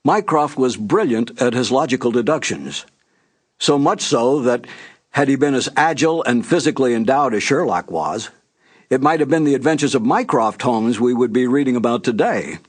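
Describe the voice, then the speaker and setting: A man's voice: medium pitch, animated, normal speed, husky. A man, reading scripted narration as the host introducing classic mystery broadcasts.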